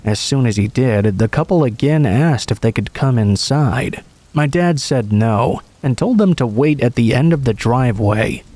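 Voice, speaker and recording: A man reading scripted first-person stories as a narrator, in a measured storytelling way. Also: medium pitch, darkish tone.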